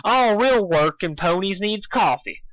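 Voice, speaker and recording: A stubborn, feisty female farm pony, acted by a man, with a forceful, raised voice. She talks in folksy phrases and digs in defiantly, as in a storybook reading of a cartoon tale. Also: sits high, lively intonation, measured pace, dry recording, voice pushed up, low-quality recording. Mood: defiant, irritated.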